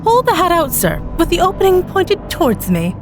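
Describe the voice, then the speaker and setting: A woman voicing a sly male stage magician in a story, with theatrical, formal, drawn-out patter and menacing politeness. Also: very high voice, huge pitch swings, voice pushed up, full character voice. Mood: smooth, menacing. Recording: music bed behind the voice.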